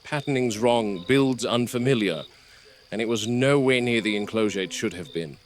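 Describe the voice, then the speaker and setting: A man playing a wary, gruff middle-aged game warden in a found-footage horror drama, speaking in a clipped, deliberate way. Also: on the low side, close to an everyday voice. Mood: grave, urgent. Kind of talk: found-footage horror acting, hushed muttering and radio call. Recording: noisy VHS-style found footage.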